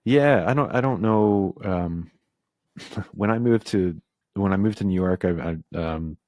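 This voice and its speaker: A man with a very low voice, speaking as a guest on a podcast. He grew up in the American South and talks in a halting, reflective way, full of "like" and "um". The sound is dry and close, with no room around it. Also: a little mellow, no room sound. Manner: reflective, halting, filler-heavy. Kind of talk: casual conversation.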